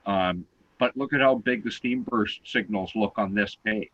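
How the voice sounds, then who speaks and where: Man co-host, loud, patient explainer, lowish voice, dry muffled home mic. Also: measured pace, no room sound. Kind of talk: online talk show discussion.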